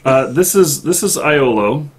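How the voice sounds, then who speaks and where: A man hosting a live show, chatting casually about games in a halting way with pauses mid-thought. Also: on the high side, lively intonation, husky.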